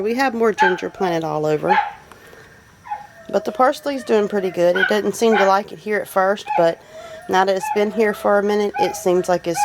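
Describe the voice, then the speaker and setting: A woman with a Southern US accent gives a casual, folksy, unscripted garden tour in a rambling, appreciative way. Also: medium pitch. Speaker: homestead vlogger giving a garden tour. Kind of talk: casual unscripted walk-through narration. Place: outdoors in a homestead garden. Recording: noisy outdoor recording with animal sounds. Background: birds, roosters and farm animals.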